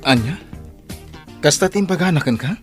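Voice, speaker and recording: A man's voice: fairly high pitch, expressive, brisk, soft background music, natural voice. A man with a Filipino accent playing a loyal, upright young family man in an audio drama. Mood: disapproving.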